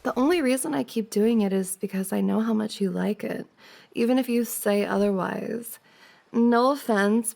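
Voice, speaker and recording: A woman's voice: mid-range voice, creaky. A woman playing a playful, teasing young woman, a best friend's older sister, in a giggly, casual ASMR roleplay. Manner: giggly, teasing banter with frequent soft laughs.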